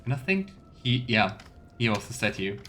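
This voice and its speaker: A man of average pitch streams a game and comments casually as he goes. He muses aloud and tosses playful asides to viewers, with faint noise and keyboard clicks in the recording. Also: big pitch swings, moderate pace, a bit bright. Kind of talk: casual live let's play commentary.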